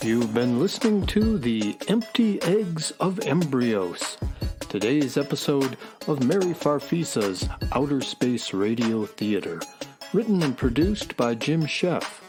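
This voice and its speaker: Male radio announcer, slow and lilting, music under the voice. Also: medium pitch, big pitch swings. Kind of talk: scripted radio sign-off. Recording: music playing behind.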